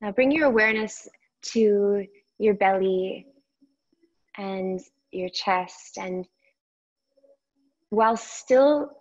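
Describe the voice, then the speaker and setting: A woman yoga teacher guides a meditation in a mid-pitched voice. She speaks slowly and gently, leaving long gaps between short phrases. The recording is somewhat muffled and lo-fi.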